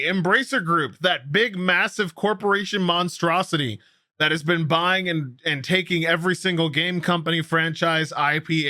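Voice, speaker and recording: A man with a fairly high, bright voice hosts gaming news in a dry-sounding recording. He talks at length and informally, explaining each item as casual commentary. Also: no echo. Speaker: host of a gaming news show.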